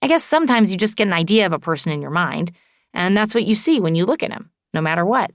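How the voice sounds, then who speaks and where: A woman reading an audiobook in expressive first person, wry and conversational. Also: mid-range voice, quick pace, no echo, studio quality. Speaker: audiobook narrator.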